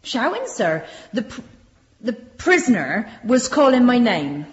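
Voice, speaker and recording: A lively-pitched, meek servant. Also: female voice, a little slow. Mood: nervous. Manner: meek, earnest, deferential. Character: nervous, deferential Victorian servant woman testifying, a cook. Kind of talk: British radio drama acting. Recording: muffled, echoey room recording.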